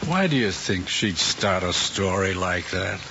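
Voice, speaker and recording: An actor playing a troubled middle-aged father, grave and halting, in an old screen drama. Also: low voice, expressive. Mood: worried. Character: troubled, regretful father who pushed his son. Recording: dull, hissy old film soundtrack.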